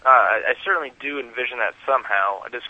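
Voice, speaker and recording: A man's voice: medium pitch, fast, breathy edge. A man giving conversational interview answers as a guest, heard over a lo-fi telephone call. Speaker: interview guest, website founder.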